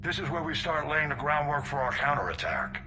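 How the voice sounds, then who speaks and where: An actor playing an older admiral in a video game, grave, deliberate and authoritative. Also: male voice, on the high side, very animated, noisy background. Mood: grave, commanding. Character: commanding older male admiral, grave and determined. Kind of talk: video game cutscene acting.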